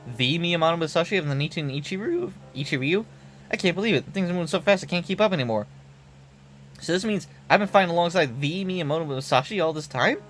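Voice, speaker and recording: A man's voice: fairly high pitch, fairly bright tone, natural voice. A man voicing a brash young female painter and swordfighter in game dialogue, with blunt, slangy, emotional tough talk. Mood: shocked, despairing. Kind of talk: game dialogue read aloud. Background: background music.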